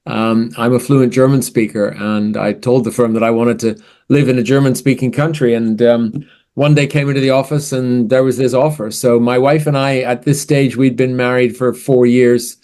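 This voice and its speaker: A man's voice: mid-range voice, moderate pace. Canadian-born man in his sixties, easygoing. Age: 60s. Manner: relaxed storytelling with fillers. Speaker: podcast guest, finance strategist. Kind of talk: casual interview answers.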